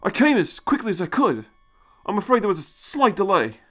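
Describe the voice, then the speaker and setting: High-pitched young man performed by a male narrator, nervy, stammering, eager, impatient, lively pitch, sci-fi story. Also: brisk, faint music behind, full character voice. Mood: hurried. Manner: stammering, nervous, polite bluffing. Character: eager young male space traveller posing as officer, impatient.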